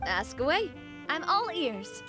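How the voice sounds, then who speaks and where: A woman's voice: sits high, sing-song, slow, over music, exaggerated voice. A woman playing a spirited, fiercely loyal young lady boss in an anime video game, theatrical and emphatic. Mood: bright, friendly.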